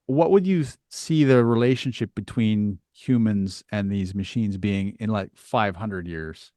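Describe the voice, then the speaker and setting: Thoughtful low-voiced man hosting an interview. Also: unhurried, dark tone, dry recording, studio quality. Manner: thoughtful, tentative phrasing. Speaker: podcast host. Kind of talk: interview conversation.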